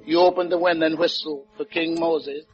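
An actor playing a devoted, easygoing island musician with a Caribbean accent and a quaint, deferential lilt, in 1950s radio drama style. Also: male voice, a little high, steady tone, fairly quick, faint music behind, lightly acted. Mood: helpful. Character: easygoing, devoted Caribbean calypso guitarist and helper. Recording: muffled old 1950s radio recording.

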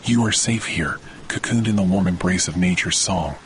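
Deep male voice doing sleep meditation, slow n soothing w long pauses, rain and thunder behind. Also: a little hiss or hum, rough recording. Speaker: podcast host and meditation guide. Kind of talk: guided sleep meditation narration. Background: rain and thunder sounds.

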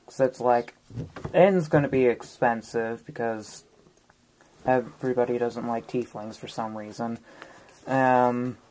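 A woman's voice: extremely low pitch, steady tone, unhurried, dark tone, slight background noise, poor audio. A woman chatting casually as a player in a tabletop roleplaying game. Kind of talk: casual chat during a game.

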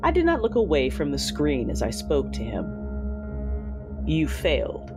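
An actor playing a cruel, vengeful Sith lord, narrating an audio drama in a deliberate, commanding, menacing way with a husky voice. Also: medium pitch, wildly swooping pitch, full character voice. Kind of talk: first-person audio drama narration with dialogue. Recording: dramatic music behind the voice. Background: music.

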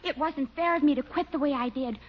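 A woman doing a sweet, naive young secretary, very high. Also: a little fast, close to an everyday voice. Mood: earnest. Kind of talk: 1940s radio sitcom acting. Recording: muffled 1940s radio broadcast.

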